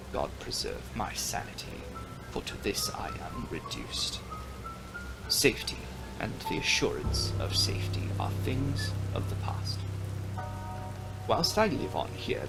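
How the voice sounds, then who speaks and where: A man reads a gothic novel aloud in a low register with a gravelly creak. His delivery is theatrical and swings widely in pitch, slipping at times into a hush. Music plays clearly beneath the narration. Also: poor audio. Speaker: audiobook reader. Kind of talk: dramatic reading of a gothic novel. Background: music under the reading.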